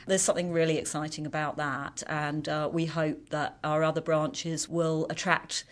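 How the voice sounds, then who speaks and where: Low-voiced businesswoman. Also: flat delivery, moderate pace. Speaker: café business owner. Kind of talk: recorded interview clip.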